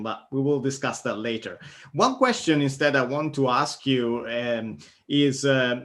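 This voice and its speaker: A man hosts an academic interview series, framing his questions slowly and carefully. He fills his pauses with frequent uhs and answers his guest with short yeahs. His voice has an ordinary middle pitch. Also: a bit bright. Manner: hesitant, frequent uh fillers and short backchannels. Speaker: interview host.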